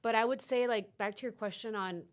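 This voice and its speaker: A woman's voice: fairly high pitch, a little fast. A middle-aged woman from Chile, a podcast guest talking openly and reflectively in a casual interview, often restarting her sentences. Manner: reflective, open, with frequent restarts.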